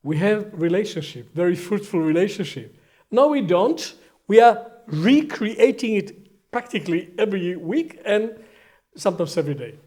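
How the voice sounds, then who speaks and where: A middle-aged Hebrew-speaking man with an Israeli accent, teaching empathy in short, deliberate statements that pause for an interpreter. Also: high voice, lively intonation, a little mellow. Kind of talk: lecture with live interpreter.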